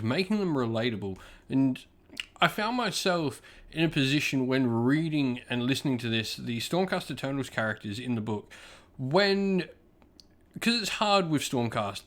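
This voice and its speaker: Man giving an enthusiastic, informal review. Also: mid-range voice. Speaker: book reviewer, channel host.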